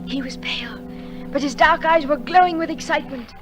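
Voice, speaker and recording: A woman playing an earnest, tender young woman who narrates a remembered story in a radio drama, measured and full of feeling. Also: high voice, music in the background, natural voice. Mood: wistful narrating.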